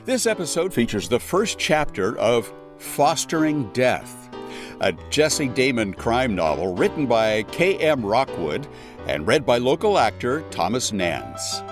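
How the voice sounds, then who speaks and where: A man's voice: medium pitch, very animated, measured pace. A man giving a scripted podcast introduction as the announcer. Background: guitar music.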